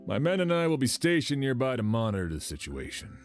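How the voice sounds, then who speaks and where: An actor playing a composed, authoritative commander in a video game, speaking in a formal, measured manner. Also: male voice, medium pitch, sing-song, faint music behind, natural voice. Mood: composed, businesslike. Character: composed, authoritative male commander directing his men.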